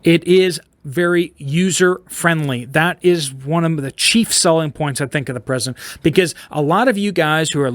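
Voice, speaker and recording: A man's voice: a little high, normal speed, few pauses, dry recording. A man hosting a casual product review, explaining gear to viewers in plain, informal layman's terms. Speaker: host of a prepper radio review channel.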